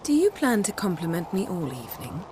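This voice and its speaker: A woman's voice: fairly low pitch, very animated, a little fast, background noise. An actress playing the hero's poised, witty and affectionate female companion in a video game, composed and teasing.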